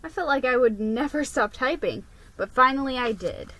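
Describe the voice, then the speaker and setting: A woman giving an expressive, theatrical reading of a children's story. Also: high-pitched, normal speed, no echo, poor audio. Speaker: storybook reader.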